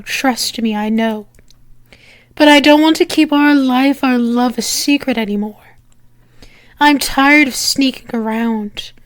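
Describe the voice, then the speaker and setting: Actress for female demon in love, ASMR roleplay: high pitch, earnest halting pleas, close dry sound. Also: clear, resonant tone, no echo. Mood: pleading. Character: lovesick female demon pleading with angel lover. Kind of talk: intimate ASMR roleplay acting.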